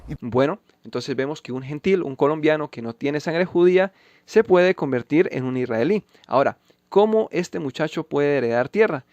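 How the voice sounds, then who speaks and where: A man reading Bible verses and giving scripted commentary, measured and emphatic. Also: a little high, animated, darkish tone, dry recording, lo-fi sound. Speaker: channel host refuting a pastor.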